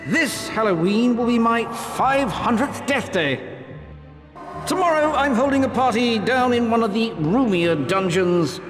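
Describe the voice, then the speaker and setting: An actor playing a courteous, centuries-old gentleman ghost in a video game, formal and genteel. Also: very high voice, animated, a little slow, big-room sound, over music. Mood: courteous, hopeful. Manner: polite, genteel. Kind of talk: video game character acting.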